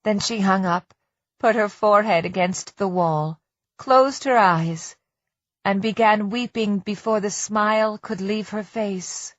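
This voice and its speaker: A woman's voice: mid-range voice, unhurried, no echo. Dry, close female audiobook narrator. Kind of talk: scripted fiction narration. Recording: slightly dull, muffled audio.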